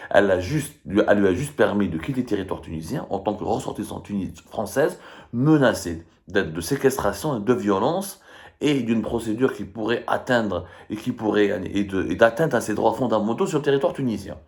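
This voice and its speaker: A man with an Algerian accent speaks in a fairly low voice with very lively, swinging intonation. He comments like a live political host, picking statements apart emphatically and argumentatively, often repeating himself to drive a point home. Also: brisk.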